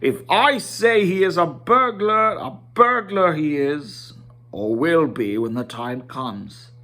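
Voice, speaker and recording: A stern old storybook wizard, acted out by a man. He speaks slowly and with heavy emphasis, scolding and commanding with full authority. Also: fairly high pitch, expressive, sharp tone, full character voice. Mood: insistent, commanding.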